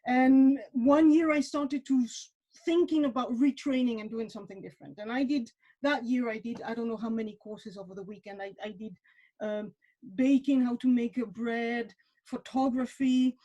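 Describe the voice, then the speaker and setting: A woman's voice: fairly high pitch, normal speed, warm tone, lo-fi sound. A woman in her forties from Mexico, talking casually as a webinar guest, with many fillers and repeated words. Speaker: webinar guest, wine industry professional.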